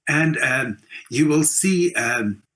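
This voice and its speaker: A man's voice: fairly high pitch, animated, slow pace, fairly bright tone. A middle-aged South African man talking conversationally as an interview guest, emphatic and full of anecdote. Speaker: guest, theatre performer.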